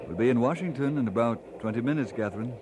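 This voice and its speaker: An actor playing an earnest, humble pastor, speaking politely and sincerely in an old-time radio drama style. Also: male voice, medium pitch, fast talker, close to an everyday voice. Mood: tender, attentive. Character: earnest, humble, courteous male pastor, newly married. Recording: noisy vintage radio transcription.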